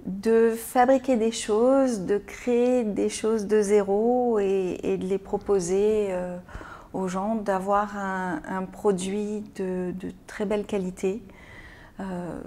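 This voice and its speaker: A woman born in France, an interview guest answering in a thoughtful, halting way with frequent pauses and repeated words. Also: medium pitch, steady tone, mellow. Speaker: interview guest, business owner. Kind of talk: hesitant conversational interview answers.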